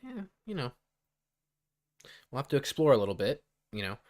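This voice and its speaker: A man's voice: mid-range voice, huge pitch swings, normal speed. A man co-hosting a gaming podcast, talking in casual, joking banter. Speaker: podcast co-host.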